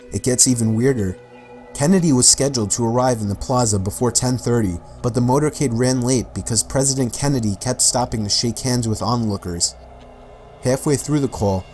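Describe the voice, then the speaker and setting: Male narrator, scripted mystery documentary read, mid-pitched voice, music underneath. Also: darkish tone. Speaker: video narrator.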